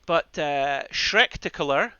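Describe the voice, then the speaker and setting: A man from the Scottish Highlands with a Scottish accent, casting a game live in a conversational, rambling way and repeating phrases for emphasis. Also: expressive, slow, bright, forceful tone, smooth, no room sound, highs cut off. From Scottish Highlands, northeast Scotland. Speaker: esports caster and streamer. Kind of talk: live game commentary with casual asides to chat.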